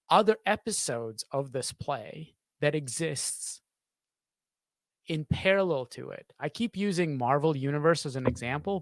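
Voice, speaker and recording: A man in his thirties giving thoughtful interview answers as a sound designer, halting and repeating phrases as he searches for words, close on a studio mic in a small home studio. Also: fairly high pitch, no room sound. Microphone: studio microphone on a boom arm, close.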